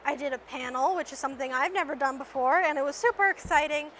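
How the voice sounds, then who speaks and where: A woman's voice: sits high, fast, fairly bright tone, a little hiss or hum. A woman from Canada hosting a reptile vlog, chatting upbeat and enthusiastic with vendors at an expo while losing her voice. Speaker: reptile vlogger and host. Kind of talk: casual vlog interviewing. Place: indoor reptile expo hall.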